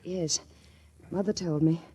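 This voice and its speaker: A woman's voice: on the low side, fairly even intonation, a little slow, mellow, breathy edge. An actress playing a genteel, solicitous young woman in a 1940s radio drama. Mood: solicitous, polite. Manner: polite, solicitous. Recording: noisy 1940s radio recording with clicks.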